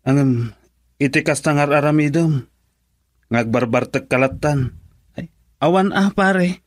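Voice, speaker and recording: A man's voice: on the high side, measured pace, no echo, clean studio sound, natural voice. Man acting as insistent friend, Ilocano accent. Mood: urging, advising. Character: male friend urgently pushing his buddy to commit. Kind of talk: Ilocano radio drama dialogue.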